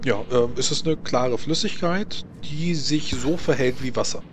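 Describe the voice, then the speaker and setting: A man improvising narration as a tabletop game master, haltingly searching for his words. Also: medium pitch. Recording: clearly noisy home recording.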